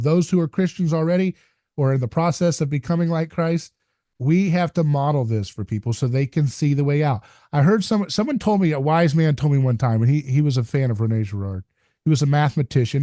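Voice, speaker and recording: A man's voice: mid-range voice, warm tone, no room sound, studio quality. A man hosting a conversation podcast, talking in an earnest, emphatic, somewhat preachy way. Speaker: podcast host. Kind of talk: casual conversation.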